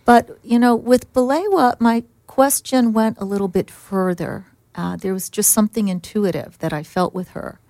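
A middle-aged woman, a social work supervisor, answering interview questions in a soft, gentle, thoughtful way. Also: medium pitch, normal speed, few pauses, no room sound.

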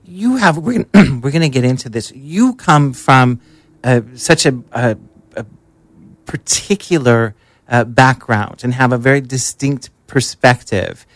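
A talk show host puts questions to the guests, backing up and restarting mid-sentence. The voice sits in a middle pitch and is recorded close and dry. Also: animated, measured pace, dry recording. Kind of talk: interview questions.

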